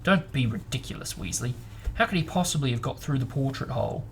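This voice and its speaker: A man's voice: mid-range voice, animated, a little fast, vocal fry, a little hiss or hum. A man voicing a stern, indignant female teacher scolding pupils in a storybook. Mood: exasperated. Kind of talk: storybook read-aloud.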